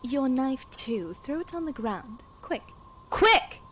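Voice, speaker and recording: A woman's voice: sits high, animated, takes it slowly, fairly bright tone, heavily acted. A woman playing a sadistic, imperious female weather mage in an audio drama, taunting her prey. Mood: commanding. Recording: clear background noise.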